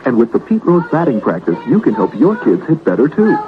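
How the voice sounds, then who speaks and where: Very animated male pitchman on old radio. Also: fairly quick. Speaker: commercial announcer. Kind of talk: scripted toy advertisement pitch. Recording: dull, noisy old radio recording.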